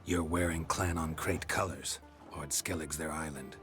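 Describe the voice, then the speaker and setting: A man playing a stoic, terse mercenary in a fantasy video game, with a laconic, deadpan delivery. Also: very deep voice, moderate pace, over music. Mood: guarded. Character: stoic, terse male monster-hunting mercenary. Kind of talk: video game cutscene acting.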